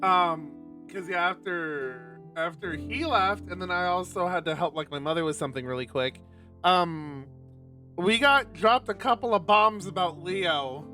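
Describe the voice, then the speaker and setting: A man livestreaming a visual novel, mixing expressive, sweary casual chat with reading the narration aloud. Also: high-pitched, unhurried, faint music behind.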